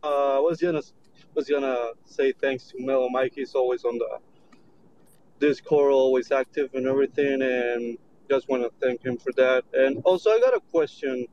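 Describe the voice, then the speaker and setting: A man's voice: mid-range voice, moderate pace. A man asking a question as an audience member in a casual, polite chat. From lives in Orlando. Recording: muffled lo-fi line, clear background noise.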